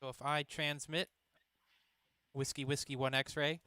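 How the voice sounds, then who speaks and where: A man giving a casual, matter-of-fact step-by-step software demonstration on a livestream. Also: a little high, little pitch movement, unhurried, clear, resonant tone, no room sound. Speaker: participant demonstrating radio software. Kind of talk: casual step-by-step screen-share demo.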